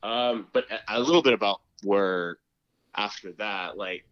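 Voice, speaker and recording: A man's voice: fairly low pitch, a little slow, fairly bright tone, no echo, highs cut off. A man speaking as a podcast guest in casual chat, hesitant, with many fillers and restarts. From Canada. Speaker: podcast guest, student council member.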